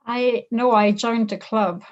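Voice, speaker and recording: A woman's voice: fairly high pitch, fairly even intonation, slow pace. French woman, thoughtful, slightly muffled. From France. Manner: thoughtful, with frequent hesitations and restarts. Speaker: business professor, interviewee. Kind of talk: reflective interview answers.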